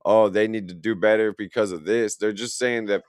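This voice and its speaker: A man's voice: on the low side, steady tone, moderate pace, clean tone, no room sound, soft background music. A young man, reacting to a video in blunt, profane, rambling casual commentary. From Illinois, USA. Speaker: reaction streamer.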